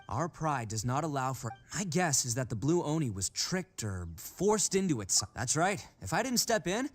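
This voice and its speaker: An actor playing a proud, big-hearted young oni gang boss in a video game, with an emphatic, earnest delivery. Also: male voice, on the high side. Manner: boastful, earnest storyteller, emphatic.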